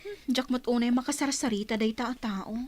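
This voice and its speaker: A woman's voice: a little high, brisk, a little mellow, close to an everyday voice. An actress playing a guarded young Filipino office woman, a heartbroken daughter, in a radio drama. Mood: businesslike, friendly.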